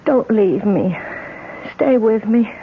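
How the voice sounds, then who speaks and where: A woman playing a frail, confused, anxious wife in a 1970s radio drama, drowsy, halting and pleading. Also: mid-range voice, expressive. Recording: noisy, muffled 1970s radio drama recording.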